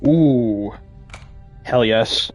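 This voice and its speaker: A man's voice: medium pitch, very slow, faint music behind. Chatty man with a slightly scratchy voice. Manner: chatty, upbeat. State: slightly scratchy voice after illness. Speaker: gaming YouTuber. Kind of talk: casual gameplay commentary.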